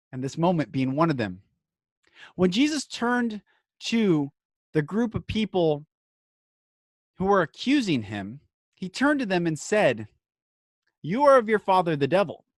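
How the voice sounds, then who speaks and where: A man hosting a podcast, speaking in an earnest, emphatic inspirational monologue broken into short phrases with dramatic pauses. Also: high-pitched, very animated, dry recording, clean studio sound.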